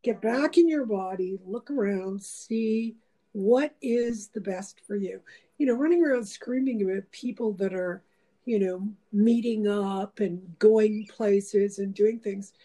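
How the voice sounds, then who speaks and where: Mellow-voiced woman musing in a casual chat. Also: medium pitch, a little slow, rough recording. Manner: meandering, reflective, with many fillers. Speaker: host.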